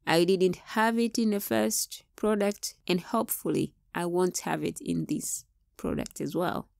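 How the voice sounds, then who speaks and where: A woman's voice: fairly low pitch, steady tone, a little slow, vocal fry, darkish tone. A young woman with a Tanzanian accent reviewing a kitchen gadget step by step in a casual vlog. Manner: plain, step-by-step instructional. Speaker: product reviewer and Swahili teacher.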